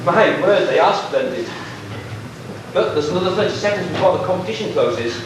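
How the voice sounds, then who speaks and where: Pantomime royal judge, played by a man, very high pitch, amateur stage projection, heard far from the microphone. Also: expressive, fairly quick, noisy background. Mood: stately. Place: church hall stage, recorded from afar. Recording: echoey hall, distant camcorder.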